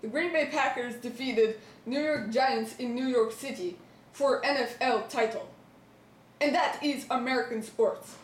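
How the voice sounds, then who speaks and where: A woman's voice: a little high, measured pace, far from the mic, a little hiss or hum. A young woman anchoring an amateur mock newscast with a mock-earnest delivery, reading from a script. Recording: echoey room, off the mic.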